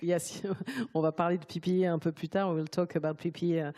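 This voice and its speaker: Moderator, a woman with a mellow, lowish voice, brisk and conversational. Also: fairly even intonation. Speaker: panel moderator. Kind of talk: moderating a conference panel.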